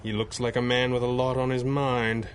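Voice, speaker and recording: An actor playing a stern, resolute masked crime-fighter with grave, clipped, formal speech in a 1930s radio adventure style. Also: male voice, mid-range voice, flat delivery, normal speed, slight background noise, natural voice.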